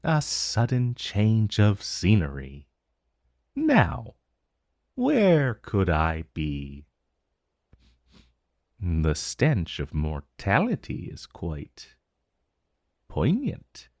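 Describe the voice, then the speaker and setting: Man voicing a slow, low, theatrical ancient dragon. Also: wildly swooping pitch, a little mellow, no echo, clean studio sound, heavily acted. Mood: curious, haughty. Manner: theatrical, drawling, savoring words, amused. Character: ancient male dragon, urbane, intrigued, vaguely menacing familiar. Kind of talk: fantasy roleplay ASMR monologue.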